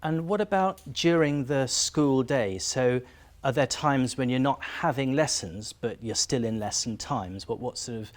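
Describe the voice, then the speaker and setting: A male teacher hosts a relaxed interview with his students, speaking in a friendly, conversational way. His pitch sits in the middle, neither low nor high. His talk is dotted with small "uh" fillers as he moves between questions. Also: a little slow. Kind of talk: school promo interview.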